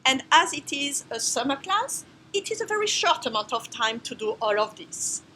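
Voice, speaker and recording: A woman's voice: high-pitched, big pitch swings, normal speed, sharp tone, a little hiss or hum. A woman from France with a strong French accent, introducing herself as a professor of a course in careful, non-native phrasing. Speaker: biology professor.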